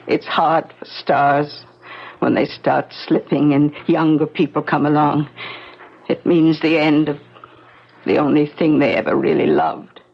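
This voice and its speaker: An actress in a 1970s radio drama playing a remorseful wounded wife, speaking haltingly and wistfully in a husky voice. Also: female voice, sits low, exaggerated voice. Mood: wistful, regretful. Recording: dull, lo-fi 1970s radio recording.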